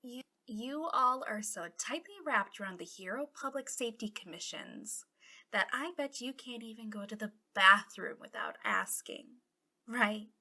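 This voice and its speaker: Woman acting a breathy, sharp-voiced taunting female villain. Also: on the high side, lively intonation, exaggerated voice. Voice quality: breathy, often dropping into a whisper. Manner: mocking, conspiratorial, drawn-out drawl. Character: taunting, bitter female villain, fallen from heroism. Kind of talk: ASMR roleplay.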